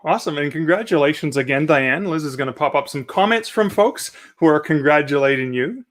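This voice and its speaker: Male emcee over a video call, slightly high voice, light jokes between award reads. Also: animated, a little fast. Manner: jokey, upbeat emcee. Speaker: awards host. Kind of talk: live-streamed awards presentation.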